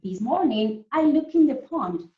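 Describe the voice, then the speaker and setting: A woman teacher reading a story to young children in a deliberate, animated way, often putting questions to them. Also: high-pitched. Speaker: primary school teacher reading a story to pupils. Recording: roomy, dull lo-fi sound.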